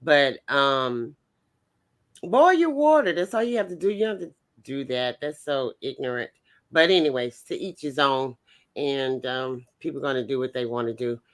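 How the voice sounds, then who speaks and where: A woman's voice: rumbling low, normal speed. A woman hosting a casual live music show, folksy and chatty, often addressing her audience as y'all. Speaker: host of a music spotlight show.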